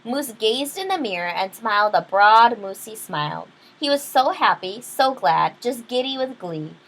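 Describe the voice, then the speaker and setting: A woman reading a children's picture book aloud expressively, pausing to explain things to young listeners. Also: on the high side, animated, a bit bright, rough recording. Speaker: read-aloud storyteller.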